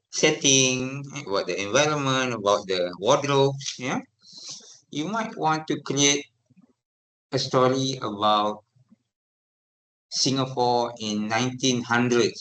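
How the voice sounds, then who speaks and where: Lecturer, man, malaysian english accent, middle-aged, lively classroom talk, keeps checking if students follow. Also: mid-range voice, poor audio. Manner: lively, asks the class for replies often. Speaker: university lecturer.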